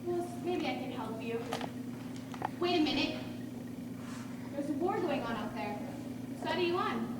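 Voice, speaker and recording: A woman's voice: high voice, expressive, unhurried, pauses often. A young student actor, a woman, playing a weary, grumbling old woman in a stage play, dramatic and complaining, with some Spanish words. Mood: exasperated. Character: weary, grumbling old woman who gathers hidden voices. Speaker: student actor in a high school one-act play. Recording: very echoey, noisy hall from far off.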